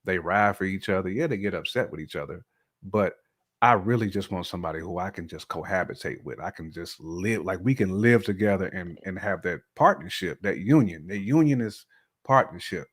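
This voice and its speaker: A man's voice: low voice, lively intonation, brisk, husky. A man talking casually as a podcast guest, in a colloquial, slangy way with frequent check-in phrases. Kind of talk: casual chat, telling personal views.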